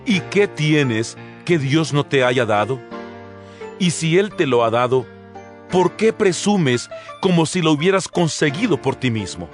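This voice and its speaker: An actor playing the earnest, exhorting apostle Paul in a dramatized Bible reading, solemn and measured, with rhetorical delivery. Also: male voice, fairly high pitch, animated. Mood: reproachful. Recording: music bed behind the voice.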